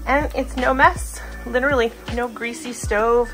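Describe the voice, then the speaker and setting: A woman's voice: on the high side, steady tone, unhurried, fairly bright tone, faint music behind, low-quality recording. A woman speaking casually and chattily as she narrates a home cooking vlog in her kitchen. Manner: chatty, informal. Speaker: vlogger and mother.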